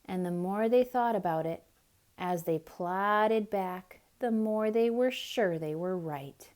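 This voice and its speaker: A woman performs a picture-book read-aloud for young children. Her delivery is dramatic and expressive at a slow overall pace, with explanatory asides to the listeners. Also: mid-range voice. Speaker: children's storyteller.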